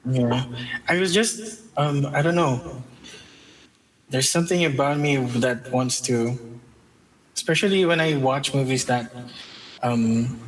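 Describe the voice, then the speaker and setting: A man's voice: mid-range voice, slow pace, pauses often, poor audio. A man chatting casually as an interview guest, reflective and modest, a little tentative. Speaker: interview guest, game composer.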